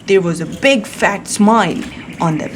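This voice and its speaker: A woman reading a picture book aloud to her young child, expressive and simple in her wording, often prompting with questions. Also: mid-range voice, measured pace, a little hiss or hum. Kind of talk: storybook read-aloud with questions and chat to a child.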